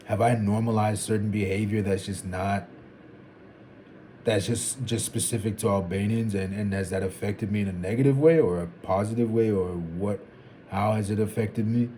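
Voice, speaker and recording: A man in his twenties thinking out loud in a casual, rambling vlog, restarting sentences and dropping "like" and "bro". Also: lowish voice, fairly even intonation, moderate pace, a little hiss or hum. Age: 20s. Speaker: vlogger. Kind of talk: casual reflective monologue.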